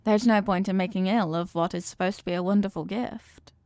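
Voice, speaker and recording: A woman voicing a possessive, regal evil queen in a scripted ASMR roleplay, speaking formally and in measured phrases, doting yet coolly manipulative. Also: medium pitch, steady tone, no room sound, natural voice. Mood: gracious, doting. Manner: formal, measured, softly commanding.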